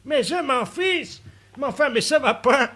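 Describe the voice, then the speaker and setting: A man's voice: very high voice, animated, measured pace, bright, forceful tone, husky, no echo, exaggerated voice. A man voicing a flustered, exasperated lady in a prank call. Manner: exasperated, protesting. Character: flustered lady pestered by an underwear salesman. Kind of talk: prank call character comedy.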